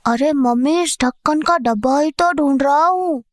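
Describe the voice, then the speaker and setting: A performer playing an excited little boy in a Hindi horror audio drama, eager and insistent. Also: sits high, measured pace, no echo, clean studio sound. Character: excited, pleading little boy on a treasure hunt.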